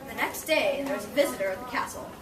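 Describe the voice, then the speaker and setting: A woman's voice: fairly high pitch, animated, fast, crowd noise. A young woman narrating a fairy-tale puppet show for children in storybook style. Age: young adult. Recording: echoey room, distant mic, noisy background.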